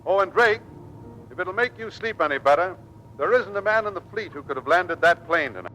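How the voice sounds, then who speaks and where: An actor playing a stern but fair Navy squadron commander, clipped and authoritative, in old radio drama. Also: male voice, high-pitched, lively intonation, brisk, pushed and bright, dull audio. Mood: gruffly kind. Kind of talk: 1940s radio acting. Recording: faintly noisy vintage radio recording.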